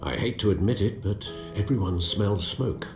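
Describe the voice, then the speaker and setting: An actor playing a grave, loyal and concerned confidant in a video game, speaking in a measured way. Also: male voice, on the low side, creaky. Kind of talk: video game voice acting, cinematic dialogue. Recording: echoey, loud music behind.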